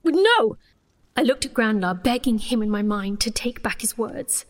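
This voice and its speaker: A woman playing a sheltered young sorceress, anxious and stubborn, in an intimate, breathy dramatized first-person fantasy story. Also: on the high side, lively intonation, normal speed, lightly acted. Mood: nervous defiance. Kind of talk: dramatized first-person audio fiction narration.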